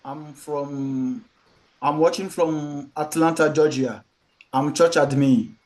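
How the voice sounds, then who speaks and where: A man's voice: a little high, slow pace, darkish tone. A man giving a brief self-introduction as a participant on a video call. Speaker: training participant, church admin.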